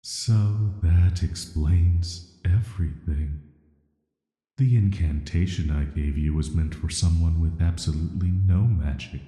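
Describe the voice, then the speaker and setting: A man playing an ancient cursed villain in a sleep-aid audio roleplay, speaking in a soft, flat, soothing and intimate way, flirty yet dangerous. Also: deep voice, measured pace. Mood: gentle, intrigued. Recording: echoey, long reverb.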